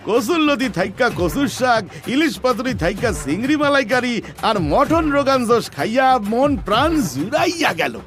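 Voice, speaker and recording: Very high-pitched whiny cartoon glutton. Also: male voice, sing-song, moderate pace, a bit bright, full character voice. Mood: greedy, pleased. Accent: eastern Bengali dialect. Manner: wailing, melodramatic. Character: gluttonous, whining, cowardly son-in-law in comic agony. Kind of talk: comic cartoon acting. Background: music.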